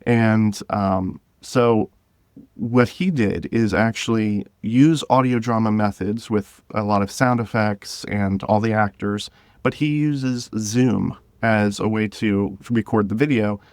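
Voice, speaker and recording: A man speaking as a podcast guest, explaining things informally and pausing to gather his thoughts. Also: fairly low pitch, a little mellow, no echo, studio quality. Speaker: podcast guest, drama creator. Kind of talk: conversational interview.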